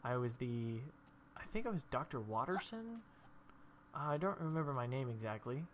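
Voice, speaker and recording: A man's voice: medium pitch, big pitch swings, moderate pace, a little hiss or hum. Stuffy-nosed young man chatting through gameplay. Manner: rambling, chatty storytelling while playing. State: stuffy from allergies. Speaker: gameplay video host. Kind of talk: casual gameplay commentary.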